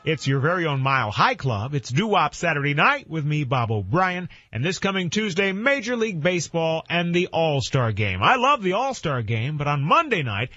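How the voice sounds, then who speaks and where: A man's voice: a little high, moderate pace, few pauses, no room sound. An American man hosting an oldies doo-wop radio show with upbeat, punchy announcer patter between songs. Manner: animated, punchy announcer delivery. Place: dry radio studio. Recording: dull, lo-fi AM radio aircheck.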